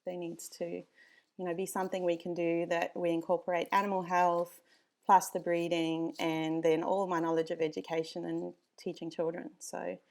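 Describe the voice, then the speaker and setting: South African woman, dog breeder guest, lowish pitch, loose chatty delivery. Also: little pitch movement, moderate pace, creaky, a little mellow. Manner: chatty, frequent ums, laughs easily. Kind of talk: casual podcast chat.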